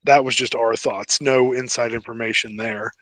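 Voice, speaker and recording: A man's voice: mid-range voice, steady tone, normal speed, fairly bright tone, no room sound. A man co-hosting a podcast, speculating casually in conversation. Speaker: podcast co-host. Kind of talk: casual speculative film and TV discussion.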